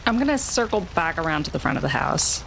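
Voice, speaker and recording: A woman's voice: low voice, big pitch swings, quick pace, gravelly, fairly bright tone, slight background noise, poor audio. A woman playing a tabletop game, chatting casually and describing her character's actions with playful, wry remarks.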